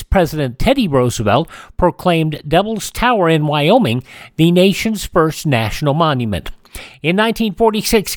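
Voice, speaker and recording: Dry-miked male radio host, lively intonation. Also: fairly high pitch, no echo. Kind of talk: conversational scripted history rundown.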